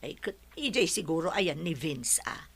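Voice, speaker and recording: A woman's voice: sits low, big pitch swings, normal speed, gravelly, a bit bright, breathy edge, full character voice. A woman voicing a concerned, helpful household maid in an audio comedy drama.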